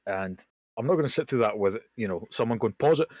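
A young man co-hosting a casual podcast, talking bluntly with casual swearing and quick jokey asides. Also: medium pitch, lively intonation, no room sound. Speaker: podcast co-host. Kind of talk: casual chat. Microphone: home mic over a voice call.

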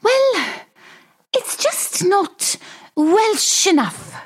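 A woman's voice: extremely high pitch, very animated, very slow. A woman playing a chirpy, matter-of-fact TV producer in a comedy sketch.

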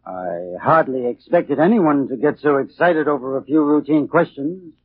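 An actor playing a suspicious, probing police inspector in a 1940s radio thriller. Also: male voice, mid-range voice, moderate pace, breathy edge, no room sound, close to an everyday voice. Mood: coolly insinuating. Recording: muffled, narrowband 1940s radio recording.

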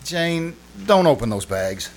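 A man's voice: a little high, big pitch swings, unhurried. A man playing a flustered, pleading husband in old-time radio comedy style, stammering and exasperated. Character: flustered, pleading husband locked in a marital squabble.

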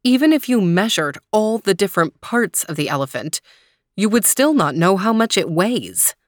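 A woman's voice: mid-range voice, very animated, moderate pace, no room sound, clean studio sound, close to an everyday voice. A woman voicing a stern, commanding old emperor in a children's storybook reading.

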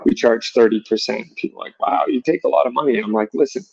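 A man's voice: medium pitch, very animated, quick pace, vocal fry, a little mellow, breathy edge, no echo, highs cut off. A man chatting casually as an interview guest, explaining things and acting out bits of imagined dialogue. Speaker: gun shop owner, podcast guest.

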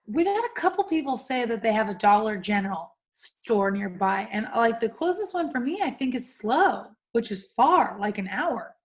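Playful, tired young woman, slightly higher voice. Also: sing-song, normal speed, hardly any pauses. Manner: playful, swears casually. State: tired after a long workday. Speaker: podcast co-host. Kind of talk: casual chat, reading listener answers aloud.

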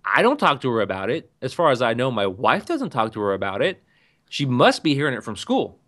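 A man's voice: mid-range voice, very animated, a little fast, fairly bright tone. A man chatting casually, rambling and often restarting his sentences as he tells stories. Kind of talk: casual podcast chat.

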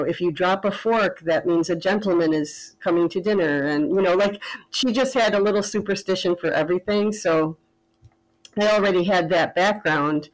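A woman author talking as a podcast guest, thoughtful and measured, now and then pausing on fillers. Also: lowish voice, low-quality recording. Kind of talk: casual interview chat.

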